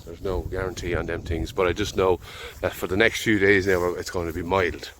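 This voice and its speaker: A man's voice: low-pitched, little pitch movement, fairly quick, fairly bright tone, a little hiss or hum. An Irish man farming, talking easygoing and rambling out in a field while fighting off a head cold. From Ireland. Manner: easygoing, rambling, thinking aloud. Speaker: farmer. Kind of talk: casual vlog narration. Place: outdoors in a field.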